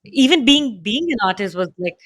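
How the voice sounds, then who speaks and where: A woman's voice: on the high side, lively intonation, moderate pace, a bit bright. Chatty podcast host woman. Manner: chatty, thinks aloud with many fillers. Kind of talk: conversational interview.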